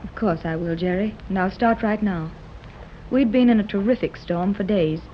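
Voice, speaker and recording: A woman's voice: mid-range voice, moderate pace, slight background noise, close to an everyday voice. An actress playing an earnest, determined mother searching for her lost daughter in a 1930s radio serial. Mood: storytelling. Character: hopeful determined mother leading an expedition. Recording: dull old radio recording.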